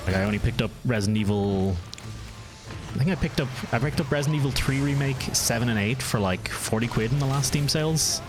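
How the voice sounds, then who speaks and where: A man's voice: mid-range voice, a little fast, over music. A man with a County Meath Irish accent, streaming a game and chatting casually with his viewers in an easygoing, rambling way. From Ireland. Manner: easygoing, rambling, answers chat, frequent restarts. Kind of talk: casual live-stream chat while gaming.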